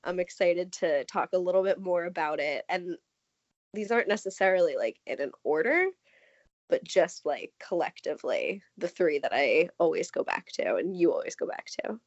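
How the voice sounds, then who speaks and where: A woman's voice: lowish voice, lively intonation, normal speed, no echo. A woman co-hosting a podcast, nasal and scratchy from a cold, rambling with a constant "like". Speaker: podcast co-host. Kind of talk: casual podcast chat. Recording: dry, slightly dull lo-fi audio.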